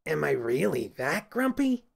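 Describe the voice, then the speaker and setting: Creaky, grumpy little Christmas elf. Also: male voice, on the high side, big pitch swings, unhurried, full character voice. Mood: suspicious, sneering. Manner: complaining, sneering, stern. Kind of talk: children's audiobook character voice.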